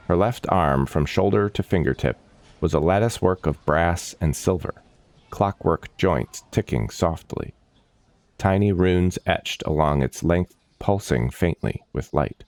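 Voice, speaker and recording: Very deep, slow male narrator. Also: a little mellow, dry recording. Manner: measured, dramatic, solemn. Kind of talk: scripted dark fantasy story narration. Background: background music.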